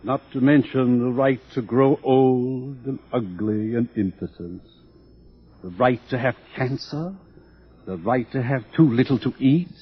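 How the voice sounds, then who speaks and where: A man's voice: mid-range voice, a little slow, husky. A man playing an urbane, smug older world controller in a theatrical radio drama, pompous and patronizing as he lectures. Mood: smooth, patronizing. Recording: dull, lo-fi radio drama recording.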